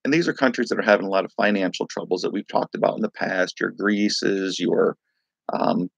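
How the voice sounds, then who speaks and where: A man with a raspy voice from a night of singing, hosting a casual crypto livestream, rambling loosely and greeting viewers by name. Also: low voice, fairly quick, no room sound. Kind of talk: casual live-stream chat.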